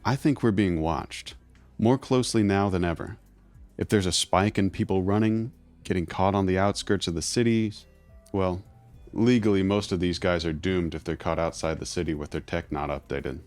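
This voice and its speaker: Very deep-voiced, condescending underground fixer played by a man. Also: darkish tone, faint music behind, close to an everyday voice. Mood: grave, guarded. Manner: measured, formal, condescending. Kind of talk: scripted audio drama acting.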